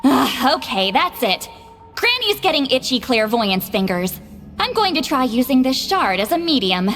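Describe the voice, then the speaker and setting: A woman's voice: high voice, very animated, normal speed, fairly bright tone, full character voice. A woman voicing a brusque, self-assured, commanding shaman granny who sounds youthful, in a video game. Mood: impatient. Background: game music.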